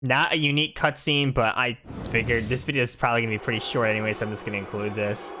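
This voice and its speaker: A man's voice: mid-range voice, fairly even intonation, fast talker, background noise. A man giving casual, chatty, explanatory commentary over a video game walkthrough.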